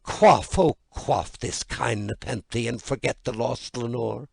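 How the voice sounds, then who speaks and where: Man acting a tormented, raspy, high-strung grieving gentleman. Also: slow pace, no room sound, clean studio sound, heavily acted. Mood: desperate. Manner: theatrical, impassioned, desperate. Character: grief-stricken, tormented man pleading with an ominous bird. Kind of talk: gothic poem dramatic recitation.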